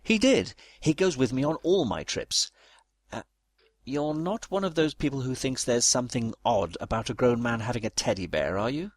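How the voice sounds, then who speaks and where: A man's voice: medium pitch, animated, moderate pace, dry recording, close to an everyday voice. A man playing a pompous, sentimental British statesman in a comedic audio drama, speaking formally and effusively in a British accent. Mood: earnest, confiding. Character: pompous, sentimental British male statesman, childlike teddy lover.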